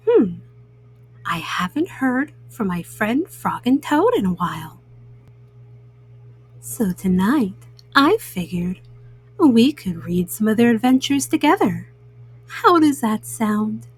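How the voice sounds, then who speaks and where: A woman reads a children's story in a high, slightly husky voice. She takes her time, with very lively rises and falls. Her manner is gentle and soothing, as a storytime host talking straight to little listeners. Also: low-quality recording. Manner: soothing, addresses young listeners directly.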